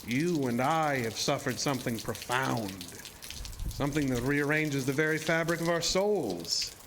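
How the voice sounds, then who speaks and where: Guy doing a creepy ageless illusionist villain, theatrical and smooth-talking formal style, horror audio drama, water noise in back. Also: mid-range voice, unhurried, close to an everyday voice. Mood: brooding, seductive. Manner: theatrical, courtly, insinuating formal speech. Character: sinister, seductive showman-illusionist, deceptively ageless male villain.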